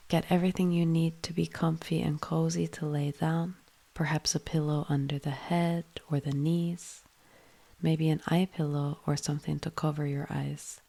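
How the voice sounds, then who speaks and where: A woman leading a guided yoga nidra meditation in a soothing, hushed manner, with phrases often trailing into breath. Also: sits low, vocal fry.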